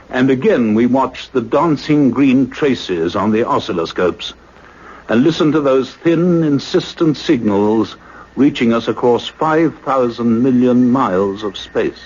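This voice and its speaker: An actor playing a grave, authoritative male scientist in a sci-fi radio play, with a husky voice. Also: medium pitch, a little mellow. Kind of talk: radio play narration and acting. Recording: dull, band-limited radio play recording.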